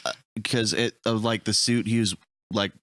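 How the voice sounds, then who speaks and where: Male podcast panellist, slightly deep voice, dry sound, tentative and halting casual movie chat. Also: fairly even intonation, fairly bright tone, no echo. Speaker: podcast panellist discussing a film. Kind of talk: casual film discussion chat.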